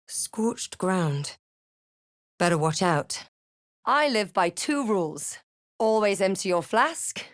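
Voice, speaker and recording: A woman playing a wry, watchful fantasy adventurer hero in a video game, with a measured, dramatic delivery. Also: fairly low pitch, no room sound, studio quality. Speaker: player character in a fantasy role-playing game. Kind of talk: video game voice lines and narration.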